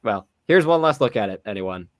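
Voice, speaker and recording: A man's voice: fairly low pitch, lively intonation, fairly quick. A young man hosting a casual podcast chat, sounding sick and worn, steering the talk in an easygoing way. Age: young adult. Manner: easygoing, runs the segment. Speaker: podcast host.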